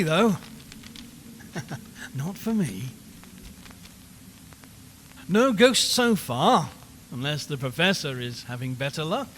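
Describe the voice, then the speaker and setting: An actor playing an unnerved radio reporter in an audio horror drama, rambling and repeating himself with forced cheer as he slowly loses his nerve. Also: fairly high pitch, a bit bright, full character voice. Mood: nervously jovial. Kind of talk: audio horror drama, live broadcast monologue. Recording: long hollow reverb, clear background noise.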